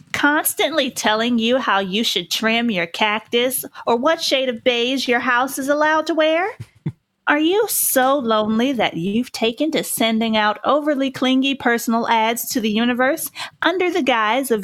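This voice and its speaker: Woman as a high-voiced, persuasive resort advertiser. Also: fairly bright tone, no echo. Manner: polished, rhetorical, persuasive. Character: female resort advertisement announcer, soothing and insinuating. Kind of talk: scripted parody advertisement narration.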